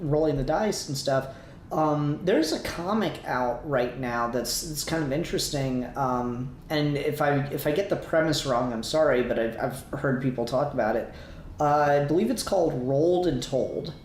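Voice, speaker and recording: A man's voice: fairly high pitch, darkish tone. A man co-hosting a casual review discussion, rambling a little as he thinks aloud. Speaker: podcast co-host. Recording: roomy echo, faint background noise.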